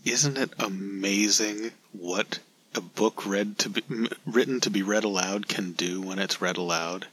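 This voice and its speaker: A man's voice: fairly low pitch, measured pace, a bit bright, breathy edge, rough recording. A man hosting a podcast in a conversational commentary style. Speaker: podcast host and novelist.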